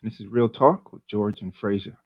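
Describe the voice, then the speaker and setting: Male podcast co-host, low-pitched, relaxed and rambling; dry, dull lo-fi audio. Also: moderate pace, no room sound. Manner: easygoing, chatty, long rambling questions. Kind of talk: casual interview hosting.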